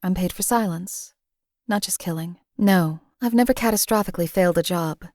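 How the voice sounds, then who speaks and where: A woman voicing a cold-blooded female assassin companion in a game, flat, clipped and detached. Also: lowish voice, normal speed, dry recording, clean studio sound, lightly acted.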